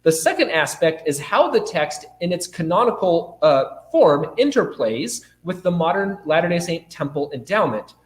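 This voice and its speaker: A man's voice: on the high side, sing-song. A young man with a cold, formally reading a scholarly paper aloud as a conference speaker. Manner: formal, measured delivery. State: fighting a cold and cough. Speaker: conference speaker, academic presenter. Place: indoor conference room. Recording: roomy, echoing lecture space.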